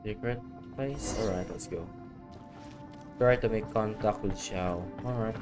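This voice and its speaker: A man streams a game with a low-pitched voice. His commentary is casual and comes in brief, offhand remarks, with game music clearly audible behind him. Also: measured pace.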